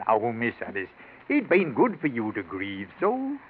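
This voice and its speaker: Flustered old Yorkshire servant, tinny radio. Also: male voice, mid-range voice, huge pitch swings, moderate pace. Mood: fussing, deferential. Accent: Yorkshire English. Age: older. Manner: dialect speech, hesitant stammering. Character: old male rustic servant, grumbling, flustered, deferential. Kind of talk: 1940s radio acting. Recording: tinny, noisy 1940s radio recording.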